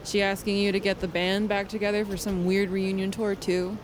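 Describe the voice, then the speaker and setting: A woman plays a sardonic, contrarian young woman in an audio drama. Her voice is medium-pitched and deadpan, with clear outdoor city noise around it. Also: normal speed, lightly acted. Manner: deadpan, needling. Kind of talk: naturalistic audio fiction acting.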